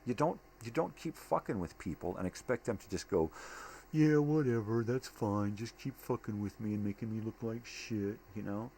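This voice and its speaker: Gravelly older man, low pitch, casual rambling monologue, opinionated, profane, noisy recording. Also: normal speed, mellow. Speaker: daily vlog host. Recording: clearly noisy home recording.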